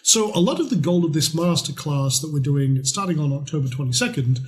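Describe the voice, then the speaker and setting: Man, Scottish accent, middle-aged, lively, blunt, casual, fairly high pitch. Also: a little fast. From Scotland. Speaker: trading course presenter. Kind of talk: promotional pitch talk.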